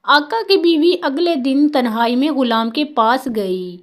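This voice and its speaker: A woman narrating a scripted moral tale as a storyteller. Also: sits high.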